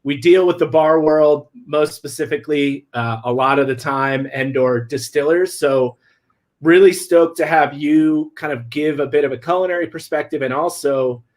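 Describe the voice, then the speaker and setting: An upbeat man hosts a livestream over a video call, his voice a touch high for a man. He rattles through announcements quickly in a loose, slangy way. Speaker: host. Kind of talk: casual livestream hosting.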